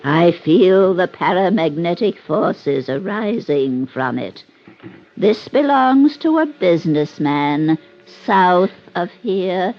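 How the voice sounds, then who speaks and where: An actress playing a grandiose middle-aged phony spirit medium, intoning melodramatically in old-time radio drama style. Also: female voice, lowish voice, expressive, takes it slowly. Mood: mystical, trance-like. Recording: muffled old radio recording, organ-like music behind.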